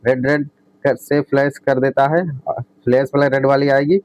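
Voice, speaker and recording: A man's voice: mid-range voice, flat delivery, normal speed, a little mellow, no echo. A man explaining tech as a video host, reading out points and restating them with frequent checking tags. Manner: repetitive, often adds a checking tag. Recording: dull lo-fi close recording.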